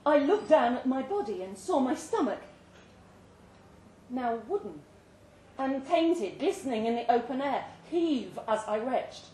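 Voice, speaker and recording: A woman giving an expressive, theatrical reading of a suspenseful short story at a live indoor event. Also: sits high, a little slow. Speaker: story reader. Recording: roomy live venue, distant mic, faint noise.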